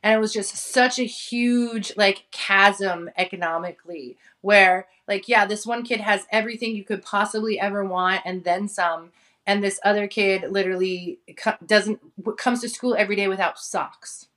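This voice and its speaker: A woman's voice: medium pitch, moderate pace, fairly bright tone. A woman in her forties from Hawaii, talking as an interview guest in a chatty, casual way with many fillers and frequent laughter. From Hawaii, United States. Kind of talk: casual conversation.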